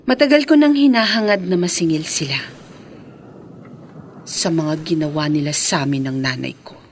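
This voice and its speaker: A woman's voice: low voice, moderate pace. An actress playing a vengeful, wealthy widow and scheming businesswoman in a radio drama, theatrical and taunting, mixing English into her talk. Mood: bitter, vengeful. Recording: clear background noise.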